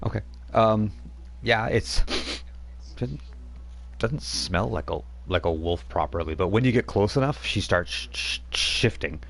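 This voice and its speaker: A man's voice: lowish voice, animated, a little slow, vocal fry, a bit bright. A man running a tabletop role-playing game as game master, narrating in a rambling way with many fillers.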